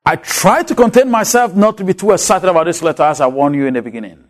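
A man's voice: sits high, quick pace. A man with an African accent preaching an expository sermon, emphatic and repeating key words for weight.

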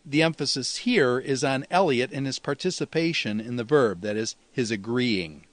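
A man speaks as a teacher walking students through a lecture. His delivery is didactic and explanatory, with a lightly folksy touch. The sound is dry, with hardly any room around the voice, and his pitch sits in an ordinary male middle range. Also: normal speed, no room sound. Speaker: teacher of New Testament Greek. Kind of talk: instructional lecture, explaining with examples.

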